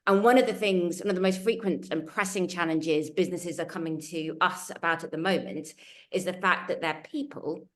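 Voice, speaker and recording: A woman's voice: fairly low pitch, brisk. Earnest woman on a webinar panel. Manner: earnest, emphatic, builds lists. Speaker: panelist from a culture change consultancy. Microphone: video call.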